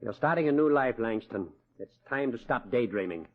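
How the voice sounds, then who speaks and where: A man's voice: medium pitch, animated, moderate pace, close to an everyday voice. Man acting a stern, domineering father, muffled old radio. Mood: stern, commanding. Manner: commanding, blunt, impatient. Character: stern, domineering, money-minded wealthy rancher father. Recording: muffled, husky old-time radio recording.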